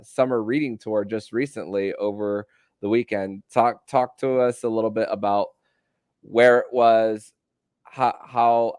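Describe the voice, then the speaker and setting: A man's voice: fairly low pitch, a little mellow, no room sound, clean studio sound. A man hosting a sports podcast, talking with energy and often restarting his sentences. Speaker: podcast host.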